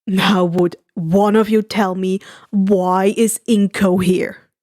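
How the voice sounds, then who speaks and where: A woman storyteller puts on a male underworld broker from an anime tale. The voice drops well below hers, gruff and protective with a sheepish streak, in a dry recording. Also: medium pitch, little pitch movement, takes it slowly, darkish tone, dry recording, pitched below the performer's own voice, close to an everyday voice. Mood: protective, stern.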